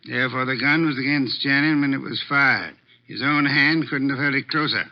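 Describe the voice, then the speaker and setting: A man's voice: medium pitch, moderate pace, lightly acted. A man playing an imperious, pedantic detective in a vintage radio mystery, with formal and precise diction. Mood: measured, authoritative. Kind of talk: 1940s-50s radio mystery acting. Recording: dull vintage radio recording.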